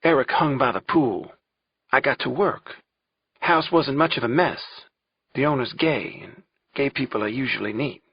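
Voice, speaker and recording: A man voicing a frightened, distraught young woman on the witness stand, in a faint girlish voice with halting delivery. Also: mid-range voice, animated, breathy edge, dry recording, muffled recording. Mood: timid, faint. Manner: halting, anguished.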